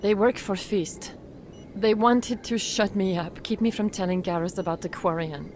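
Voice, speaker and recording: A woman's voice: medium pitch, fairly even intonation, moderate pace, noisy background, natural voice. An actress playing an earnest, shaken female doctor in a video game, explaining things carefully. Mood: anxious, explaining. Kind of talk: video game cutscene acting.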